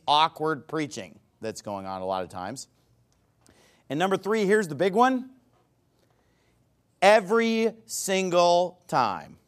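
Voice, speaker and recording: An American man preaching a sermon in a conversational, argumentative way, stressing points by repetition and addressing listeners directly in a folksy manner. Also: sits high. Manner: emphatic, repeats phrases for stress.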